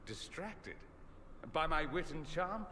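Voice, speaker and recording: An actor voicing a witty, self-assured fantasy mage in a video game, with a theatrical, sardonic manner. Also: male voice, on the high side, sing-song, slow, pushed and bright, long reverb tail, slight background noise, exaggerated voice. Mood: wry, playful. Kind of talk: video game character acting.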